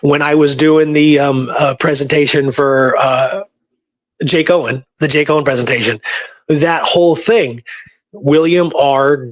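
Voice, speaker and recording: Higher-pitched man chatting, close dry sound. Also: measured pace, no room sound. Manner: animated storyteller, often tags points with "right?". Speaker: show co-host and history researcher. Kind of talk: casual chat.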